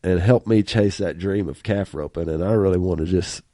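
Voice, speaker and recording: A man's voice: low-pitched, normal speed, dark tone, dry recording. A man hosting a podcast in a studio, chatting in a folksy, talkative way with a stuffy nose and frequent laughs. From rural small-town America. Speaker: podcast host. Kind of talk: casual interview chat.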